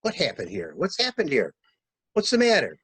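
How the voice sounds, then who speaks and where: A man performs a stranded male traveler in a murder mystery drama, brisk and authoritative. His voice sits a little high and moves widely in pitch. The sound is dry and close. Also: wildly swooping pitch, fairly bright tone, slightly rough, dry recording, exaggerated voice. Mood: alarmed. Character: male stranded traveler, brisk, commanding, takes charge at crime scene. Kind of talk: dramatic reading of a stage-style murder mystery.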